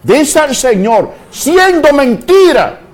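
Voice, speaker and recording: Slow, impassioned preacher man. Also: very high-pitched, sing-song. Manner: slow, preaching with sudden loud shouted peaks. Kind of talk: fiery sermon.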